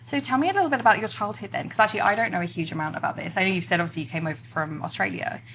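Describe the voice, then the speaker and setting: A woman's voice: medium pitch, very fast, vocal fry, a bit bright, a little hiss or hum. A woman hosting a podcast interview, chatty and affirming, asking reflective questions. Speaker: podcast host. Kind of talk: casual interview conversation.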